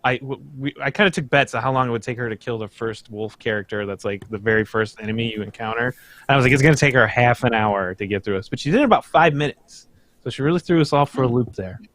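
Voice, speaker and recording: A man's voice: mid-range voice, a bit bright, no room sound. Rambling young man, dry close sound. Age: young adult. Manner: rambling, halting with fillers. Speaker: livestream co-host. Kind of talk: casual chat.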